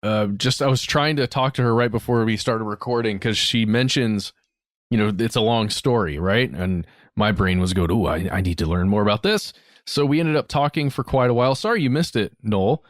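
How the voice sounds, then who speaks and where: A man's voice: fairly low pitch, normal speed, no echo, clean studio sound. A man co-hosting a podcast in casual chat, who laughs and cackles often. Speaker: podcast co-host.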